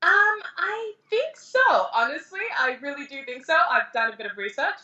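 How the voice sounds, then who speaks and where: Young female guest, high voice, distant and echoey lo-fi sound. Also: big pitch swings, moderate pace, sharp tone. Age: young adult. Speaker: interview guest.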